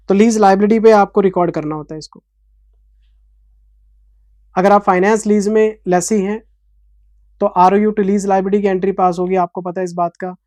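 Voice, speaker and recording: A man's voice: sits high, dark tone, dry recording, clean studio sound. A man in his forties, an accounting teacher giving a revision lecture in a passionate, scolding then explanatory manner, with an Indian English accent. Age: 40s.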